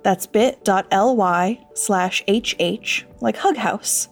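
A woman's voice: medium pitch, takes it slowly, soft background music. A woman delivering a scripted sponsor message as part of a podcast team. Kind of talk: scripted ad read.

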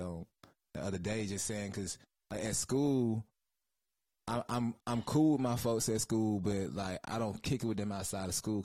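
A man's voice: lowish voice, darkish tone, dry recording. A man from Georgia with an Atlanta Southern accent, an interview guest telling rambling stories in casual, slangy, profane talk. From Georgia, USA, Atlanta area. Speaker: guest, music creative.

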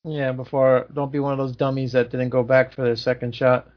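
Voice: mid-range voice, level tone, fairly quick. A speaker teasing friends in casual group chat. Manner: gravelly, teasing. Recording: dull lo-fi sound.

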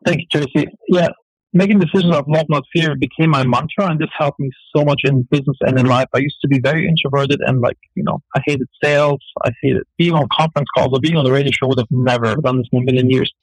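A man's voice: medium pitch, steady tone, breathy edge, no room sound, poor audio. A man with a German accent, a business guest on a radio talk show, answering in an earnest, filler-filled way. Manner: earnest, fast, full of fillers. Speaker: entrepreneur guest.

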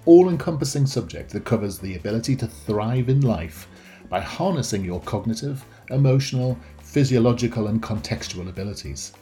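A man's voice: lowish voice, mellow. Hesitant male podcast host. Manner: hesitant, many ums and restarts. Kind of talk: conversational interview.

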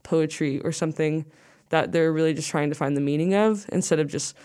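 A young woman with a low, smooth and clear voice chats loosely as an interview guest. She rambles through her thoughts, filling them with "like" and small restarts. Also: brisk, warm tone. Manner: rambling, says "like" a lot. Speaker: guest musician. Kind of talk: casual interview chat.

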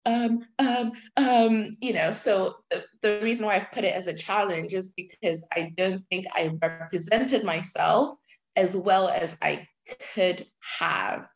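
A woman in her twenties from Zimbabwe, a guest on a podcast, answering thoughtfully with reflective pauses. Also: mid-range voice, gravelly. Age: 20s. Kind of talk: conversational interview answers.

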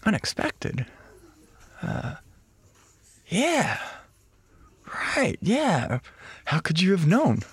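Breezy, animated yoga-teacher bro. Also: male voice, high voice, extremely slow, pauses often, slightly rough, no room sound, heavily acted. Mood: casual, surprised. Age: late 20s. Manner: effusive, slangy, breezy. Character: laid-back, chummy, new-age yoga teacher in his late twenties. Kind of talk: radio drama acting, casual slangy dialogue.

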